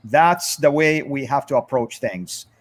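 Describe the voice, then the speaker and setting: A man hosting a moderated religious discussion, speaking in halting, deliberate phrases. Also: medium pitch. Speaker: livestream host.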